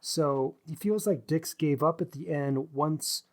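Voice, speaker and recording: A man co-hosting a book podcast, discussing writing analytically in measured, considered phrases. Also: a little high, a little mellow. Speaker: podcast co-host.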